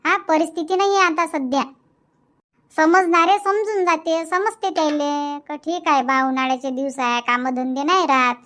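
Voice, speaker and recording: A woman's voice: high voice, fairly even intonation, normal speed, fairly bright tone. A woman voicing a nagging, thrifty village wife in a cartoon comedy, arguing in a Varhadi Marathi accent. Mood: annoyed, scolding. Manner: scolding, argumentative. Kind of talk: colloquial cartoon comedy dialogue.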